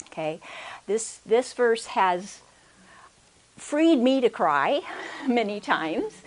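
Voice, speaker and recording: A soft-spoken woman giving a conference workshop talk into a microphone, hesitant, with many restarts and check-ins with the audience. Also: mid-range voice. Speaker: workshop speaker. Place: conference room. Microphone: handheld or stand microphone.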